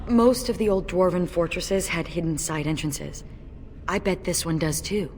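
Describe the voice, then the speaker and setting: A woman playing a stoic female dwarf warrior in a video game, sober, dry and deadpan. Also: fairly low pitch, normal speed, big-room sound, slight background noise, close to an everyday voice. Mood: grim, resolute.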